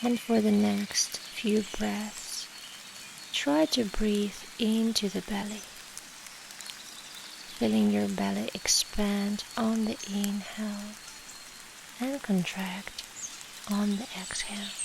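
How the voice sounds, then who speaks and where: A woman guiding a meditation in a soft, soothing, measured way with long pauses and breathy endings. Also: medium pitch. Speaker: meditation guide. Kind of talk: slow guided meditation. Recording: steady rain noise behind the voice. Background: rain and nature ambience.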